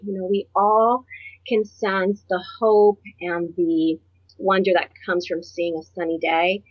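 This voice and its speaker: Middle-aged woman from Puerto Rico, interview guest, earnest and reflective, a little breathy, dry and dull lo-fi sound. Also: mid-range voice, dry recording. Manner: earnest and reflective, laughs easily. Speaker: guest, author and publisher. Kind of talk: personal storytelling in an interview.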